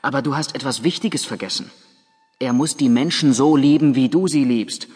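A man plays an earnest angel, a dutiful helper in a children's radio play. The voice is husky and mid-pitched, deferential in manner, carried in a long reverb over music. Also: normal speed, a little mellow, natural voice. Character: earnest, dutiful angel, assistant to Santa Claus.

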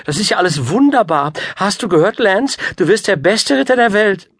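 A man voicing a gracious, excitable queen and mother in an audiobook, with an eager, fluttery manner. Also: a little high, normal speed, no room sound, studio quality, voice pushed up. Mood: delighted. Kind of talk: audiobook character voice.

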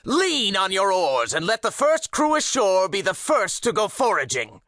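Casting: bold ancient Greek captain, audiobook drama. Bright, forceful, commanding, with big swings in pitch. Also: male voice, huge pitch swings, moderate pace, no echo, studio quality, full character voice. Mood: rousing. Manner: commanding, declamatory. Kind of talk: full-cast audiobook acting.

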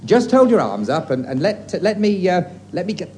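A man's voice: high voice, very animated, moderate pace, mellow. A man playing a fussy, falsely polite female dress shop assistant in a hesitant, deferential manner in a 1950s radio sketch. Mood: flustered, straining. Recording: noisy vintage radio recording, audience.

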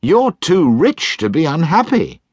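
A man voicing a cheerful, kind young man in a storybook reading, with a warm, pleading manner. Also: a little high, huge pitch swings, a little slow, husky, dry recording, pitched above the performer's own voice, exaggerated voice.

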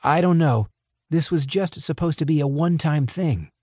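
A man's voice: fairly high pitch, moderate pace, a little mellow, no room sound, clean studio sound, voice pushed up, natural voice. A man voicing a polite, wary teenage boy courier who sounds guarded and anxious.

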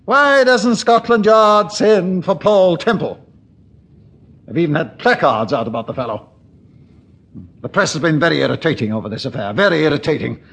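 An actor playing an irritable, pompous senior British police chief in a brusque, impatient manner, in 1940s radio drama style. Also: male voice, on the high side, lively intonation, normal speed, creaky, a bit bright, exaggerated voice. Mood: irritated.

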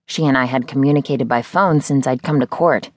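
A woman's voice: extremely low pitch, a little fast, dry recording, clean studio sound. A woman reading a first-person novel as scripted audiobook narration.

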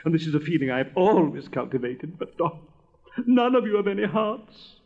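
A man's voice: on the high side, very animated, moderate pace, a little mellow, dull audio. A man voicing a vain, pompous, easily offended firework rocket in a storybook reading. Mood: indignant, lofty. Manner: pompous, severe, indignant.

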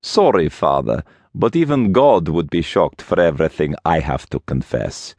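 A man's voice: very deep voice, expressive, moderate pace, a little mellow, no echo, exaggerated voice. Man playing a cold, menacing Russian gang boss. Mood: sadistic amusement. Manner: curt, menacing, commanding.

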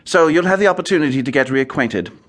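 A man playing a foppish, idle young English gentleman in a radio comedy, with a posh accent and a breezy, chatty, easily flustered manner full of period slang. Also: on the high side, animated, fast. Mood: chatty, eager. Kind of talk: radio comedy acting with first-person narration.

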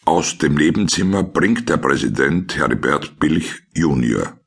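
A man reading scripted scene descriptions as a deadpan radio play narrator. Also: a bass voice, unhurried, vocal fry, slightly rough, heavily acted.

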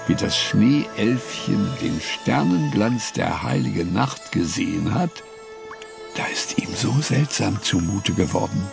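A man reads a fairy tale at an unhurried pace, his low voice gravelly and rough at the edges. His pitch rises and falls with lively expression. Music plays clearly underneath the studio reading. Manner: slow, lively storytelling with gravelly tone. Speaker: audiobook narrator. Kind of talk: scripted fairy-tale narration.

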